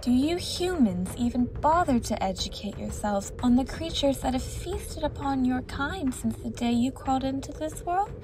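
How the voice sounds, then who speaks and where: A woman playing a haughty, impatient siren empress in a fantasy audio drama, scornful and sharp-tongued. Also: sits high, expressive, moderate pace, few pauses, slight background noise.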